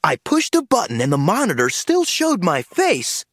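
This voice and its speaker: A man narrating an audiobook in the first person with lively storytelling. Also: high voice, no room sound, clean studio sound. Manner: lively storytelling, slow and animated.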